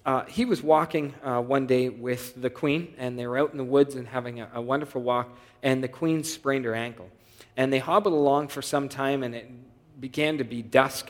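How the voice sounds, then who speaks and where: Man, pastor, sermon, conversational, filler pauses, church hall reverb. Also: medium pitch. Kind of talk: sermon with announcements. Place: church sanctuary.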